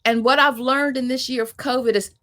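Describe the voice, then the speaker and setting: A woman moderating a panel discussion in a measured, reflective way. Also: high-pitched, steady tone, fairly bright tone.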